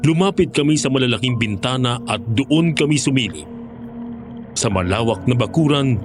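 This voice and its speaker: A Filipino man acting as a former hunter tells his horror story in scripted first-person narration. His voice is mid-pitched, with music playing underneath. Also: close to an everyday voice. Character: former male aswang hunter recounting his experience.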